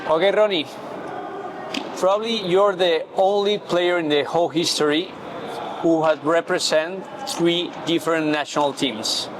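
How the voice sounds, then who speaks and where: A man hosting a casual interview, asking questions in halting, non-native phrasing. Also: a little high. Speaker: interviewer, host. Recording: clear steady background noise.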